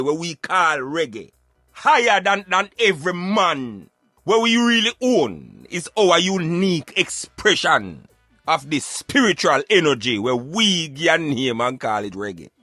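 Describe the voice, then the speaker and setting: A man's voice: a little high, sing-song, a little slow, pushed and bright, no echo, faint music behind. A Jamaican man giving emphatic, opinionated social commentary in Patois, like a street philosopher preaching on a radio show.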